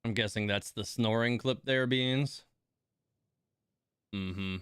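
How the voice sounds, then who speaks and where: A man hosting a game livestream, reacting casually in a rambling, hesitant way with drawn-out emphasis. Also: medium pitch, a bit bright, clear, resonant tone, no room sound, clean studio sound. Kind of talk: casual live commentary reacting to game voice lines.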